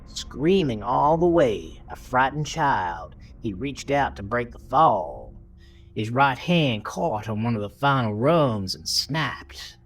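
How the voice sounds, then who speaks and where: A man narrates a horror tale with theatrical suspense, taking his time over each phrase. His pitch moves dramatically up and down, and music plays clearly underneath him. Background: prominent music bed.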